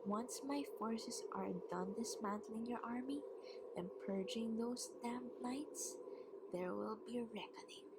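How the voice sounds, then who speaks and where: A woman playing a vengeful, mocking vampire queen who gloats over a captive in a slow, deliberate, taunting ASMR roleplay. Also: on the high side, very noisy. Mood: cold, menacing.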